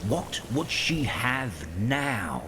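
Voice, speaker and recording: An actor playing a solemn, mighty enchanted fish in a fairy tale audio drama, speaking in weighty, terse pronouncements. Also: male voice, medium pitch, very animated, drawn-out words, noisy background. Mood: solemn, commanding.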